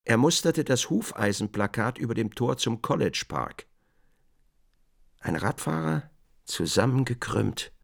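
A middle-aged man narrating a literary radio drama in a measured, deliberate storytelling manner. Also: mid-range voice, expressive, gravelly.

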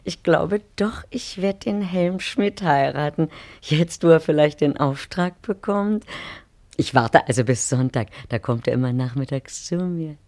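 A woman's voice: sits low, animated, a little slow, a little mellow. An actress in a German radio play, playing a naive, chatty milliner who wavers as she speaks. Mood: musing, puzzled. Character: naive, hesitant, gossipy milliner woman being courted. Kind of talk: German radio play acting, dialogue with asides.

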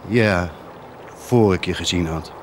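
Tormented priest over background noise. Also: male voice, low-pitched. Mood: wary. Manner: tormented, solemn, halting. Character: anguished, guilt-ridden humble parish priest. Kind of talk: radio drama acting.

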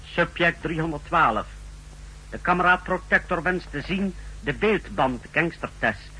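A secret-service lab chief in an old radio spy play. His voice is very bright and tense, slightly husky. He reports with clinical precision and formal deference to rank, on a vintage 1960s recording. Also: fairly high pitch, lively intonation, unhurried, full character voice, poor audio. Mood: clinical, businesslike. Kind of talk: 1960s Dutch radio drama acting.

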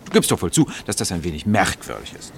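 A sensible gentleman companion in a radio drama. His deep, very creaky voice reasons and chides in exasperation, with faint noise behind. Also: wildly swooping pitch, fast talker, exaggerated voice. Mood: chiding. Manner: chiding, reasoning. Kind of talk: German radio play acting.

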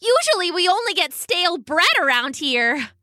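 A woman's voice: very high voice, sing-song, normal speed, sharp tone, dry recording, studio quality, pitched above the performer's own voice, heavily acted. A woman voicing a plucky, silly chubby female duck who talks in a bubbly, giggly way.

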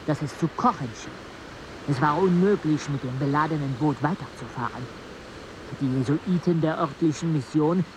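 An actor playing a jungle explorer's companion who narrates a radio play in a measured, literary way. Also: male voice, fairly high pitch, noisy background, natural voice. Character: male expedition companion narrating a grim jungle journey.

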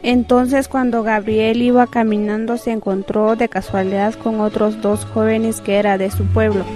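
A woman's voice: fairly high pitch, level tone, normal speed, over music, lightly acted. A woman with a Guatemalan accent narrating as the storyteller of an amateur community radio drama.

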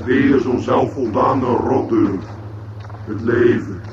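Gloomy, gravelly robot, heavy noise. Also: male voice, mid-range voice, takes it slowly, roomy sound, recorded from a distance. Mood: despondent. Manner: weary, morose, sarcastic, complaining. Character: gloomy, self-pitying male robot, deeply depressed. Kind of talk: radio play acting. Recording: muffled, very noisy old radio drama recording.

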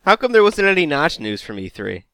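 A man's voice: a little high, very animated, brisk, fairly bright tone, dry recording. A man hosting a casual podcast chat, reading news items with wry humor. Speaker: podcast host.

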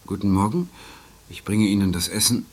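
A man playing a deadpan, obliging hotel porter in an improvised comedy radio play. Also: on the low side, normal speed, lightly acted. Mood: polite, taken aback. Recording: noisy amateur 1980s radio-play recording.

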